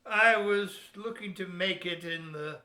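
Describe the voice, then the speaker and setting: A man playing a gruff, shifty captain of an old sailing ship in a historical audio play. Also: high-pitched, unhurried, bright, forceful tone. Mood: sly, blustering. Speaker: amateur audio theatre actor. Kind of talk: historical radio play acting. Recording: roomy, echoing room sound.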